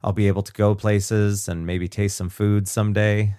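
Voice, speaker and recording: A man's voice: on the low side, little pitch movement, moderate pace, dark tone, clean tone, no room sound, clean studio sound. A man co-hosting a podcast in casual, sweary banter, slightly tipsy with faintly slurred speech. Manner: profane. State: tipsy on whiskey. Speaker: podcast co-host.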